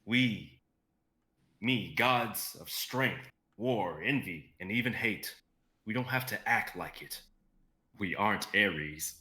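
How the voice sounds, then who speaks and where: A proud god of strength, acted by a man speaks low and clear-edged, with a forceful tone. He is assured and easygoing in this stage-style reading. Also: animated, slow, reverberant, full character voice. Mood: reassuring.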